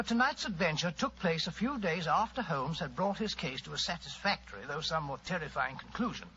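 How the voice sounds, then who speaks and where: An actor playing a genial older English gentleman storyteller with a chatty, courtly manner, in 1940s radio drama style. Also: fairly high pitch. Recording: muffled old radio recording, faint noise.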